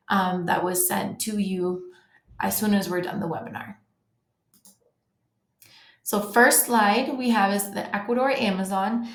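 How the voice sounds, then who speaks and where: A woman's voice: mid-range voice, vocal fry. A woman from the United States giving a friendly, informal webinar presentation to travel agents, with frequent ums and restarts. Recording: roomy echo.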